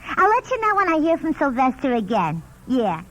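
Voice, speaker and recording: A high, very animated brassy American switchboard operator. She gossips in slang and cracks wise into the phone, in the broad style of 1950s radio comedy. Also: moderate pace, heavily acted, poor audio. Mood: brassy, gossipy. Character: chatty wisecracking woman switchboard operator named Mabel. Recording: old radio broadcast recording.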